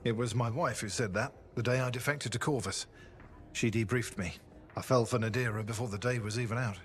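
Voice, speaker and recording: An actor playing a haunted, earnest ex-spy in a video game, with a measured, reflective delivery. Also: male voice, mid-range voice, natural voice. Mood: grave, reflective. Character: grave, haunted, earnest defected spy, grown man. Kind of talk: video game dramatic acting.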